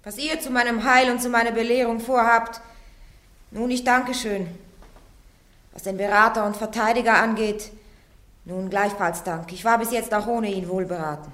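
A woman's voice: fairly high pitch, steady tone, moderate pace, a bit bright, close to an everyday voice. An actress playing a steadfast young woman on trial in a radio play, plain-spoken, resolute and earnest. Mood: cool, stubborn. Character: young Joan of Arc on trial, steadfast and defiant. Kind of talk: German radio play acting.

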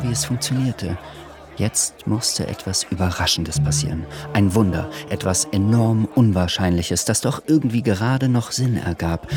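A man reading literary radio drama narration in a measured, calm way. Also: lowish voice, vocal fry.

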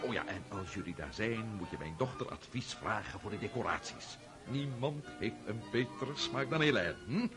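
A man's voice: lowish voice, expressive, normal speed, music in the background, lightly acted. An actor in a radio play as a middle-aged prince and father, absent-minded, patronising and given to repeating himself. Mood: breezy, patronising.

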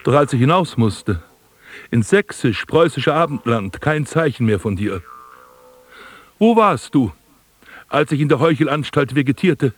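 A proud, disillusioned prince in a literary radio play. His voice sits in the middle register. He speaks in measured, dry phrases with a sardonic and reproachful edge. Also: medium pitch, sing-song, breathy edge. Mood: bitter, reproachful. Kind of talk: literary radio drama acting.

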